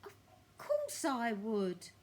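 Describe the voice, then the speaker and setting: Storybook widow, acted by a woman: high, very animated, gushing, affectionate, drawn-out words. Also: slight background noise, heavily acted. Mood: fretful, yearning. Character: sweet, gushing widow doting on her tortoise. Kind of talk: children's storybook reading.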